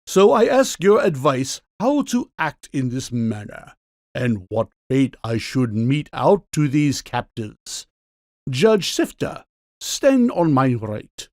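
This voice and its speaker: A man's voice: mid-range voice, sing-song, slow, darkish tone, dry recording, studio quality. An actor playing a pompous king who is a living kitchen cleaver, speaking in declamatory rebukes in a full-cast audiobook. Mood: pompous, hesitant.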